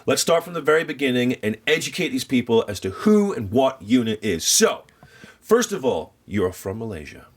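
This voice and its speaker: A man's voice: mid-range voice, big pitch swings, normal speed. A male radio show host interviewing a guest in an upbeat, playful way. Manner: upbeat, playful, acts out quotes. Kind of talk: casual interview chat.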